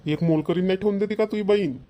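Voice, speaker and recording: A man's voice: high-pitched, quick pace, mellow, lightly acted. A man voicing a curious village husband in an amateur cartoon. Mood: inquisitive.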